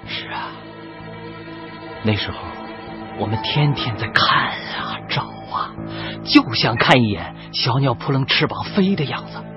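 An actor playing a tender, sorrowful father telling his child of the past in a gentle, emotive radio drama style. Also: medium pitch, sing-song, unhurried. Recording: muffled lo-fi broadcast with music behind.

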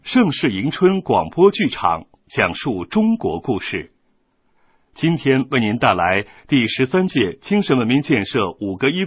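A man's voice: medium pitch, slightly rough, no echo. A man presenting as a radio announcer in a formal, scripted broadcast style. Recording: dull, band-limited broadcast recording.

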